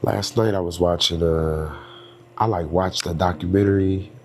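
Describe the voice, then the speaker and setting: A man's voice: sits low, fairly even intonation, normal speed, a little mellow, a little hiss or hum. A man with a stuffy nose hosting his own podcast, talking in a casual, reflective and rambling way with lots of fillers. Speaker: podcast host and singer. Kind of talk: casual solo podcast monologue.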